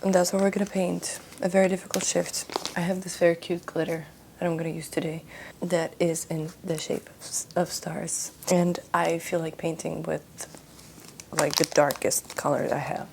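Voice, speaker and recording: A woman's voice: fairly low pitch, level tone, normal speed, creaky, darkish tone, a little hiss or hum. A young woman from Russia, exhausted after a late shift, musing casually while she paints, with loose restarts and fillers. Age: young adult. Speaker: art vlogger.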